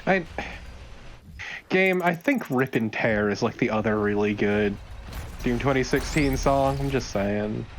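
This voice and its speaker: A man's voice: medium pitch, measured pace, slight background noise. A man co-hosting a let's play, chatting casually with a wry, sweary manner. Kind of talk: casual chat over gameplay.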